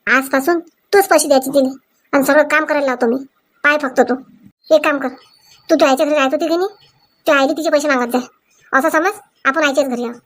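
Cartoon village scold, high-pitched, excitable, Vidarbha Marathi accent, voiced by a woman. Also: natural voice. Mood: sarcastic. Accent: Marathi, Vidarbha dialect. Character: excitable, quarrelsome village women in a family comedy. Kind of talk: cartoon comedy dialogue.

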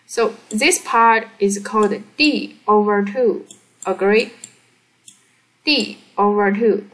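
A woman who is a non-native English speaker explains a worked problem step by step as a teacher, halting and often checking that the learner follows. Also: medium pitch, a bit bright. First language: not English. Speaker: physics teacher.

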